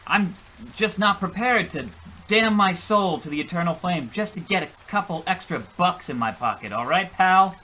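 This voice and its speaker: A man's voice: sits high, animated, moderate pace, pushed and bright. A man playing a gullible, defensive husband who rambles through his tall story with exasperation in an old-time radio comedy. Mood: indignant.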